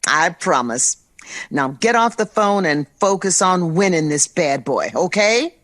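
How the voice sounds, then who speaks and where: A slightly low voice plays a middle-aged mother in a modern audio drama. She is loving, playful and reassuring, and sounds natural. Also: animated, unhurried, a bit bright. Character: warm, reassuring, playful mother of a grown son.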